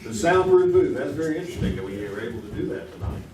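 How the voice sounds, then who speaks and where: Male comic quiz host, bumbling and jokey, animated pitch, heard at a distance through loud noise. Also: fast talker. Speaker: game show host. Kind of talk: comic quizmaster banter. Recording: very noisy, echoey, distant stage microphone.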